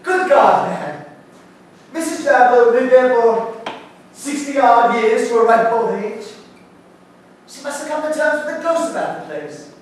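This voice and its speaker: A man's voice: extremely high pitch, very animated, a little slow, long pauses, exaggerated voice. Man playing an earnest young solicitor, very echoey stage. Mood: stubborn. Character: earnest, stubborn young male solicitor, politely formal. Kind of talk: period stage play acting. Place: indoor theatre stage, mic far off. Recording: very echoey theatre, distant mic, faint noise.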